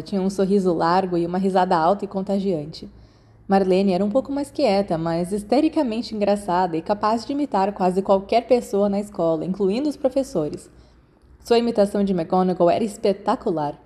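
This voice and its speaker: A woman's voice: mid-range voice, warm tone. A woman with a Brazilian accent reads scripted fiction as an audiobook narrator.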